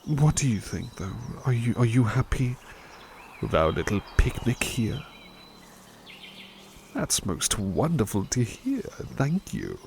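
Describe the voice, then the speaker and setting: A man voicing a doting butler in a soft-spoken ASMR roleplay, talking gently and soothingly with hesitant restarts. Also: mid-range voice, wildly swooping pitch, takes it slowly, pauses often, slight background noise.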